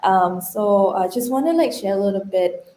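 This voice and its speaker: Mellow young woman, echoey room. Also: medium pitch, animated, normal speed. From rural Vietnam. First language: Vietnamese. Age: young adult. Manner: frequent "like", personal storytelling. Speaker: conference speaker, women-in-tech community organizer. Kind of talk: informal conversational talk. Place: indoor room on an online conference call. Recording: roomy, echoey online call.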